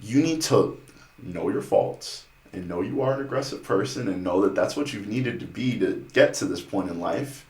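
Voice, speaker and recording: A man with a deep voice, a podcast guest talking sales in an intense, driving way. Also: lively intonation, moderate pace, a little mellow, roomy sound, low-quality recording. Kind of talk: casual sales talk.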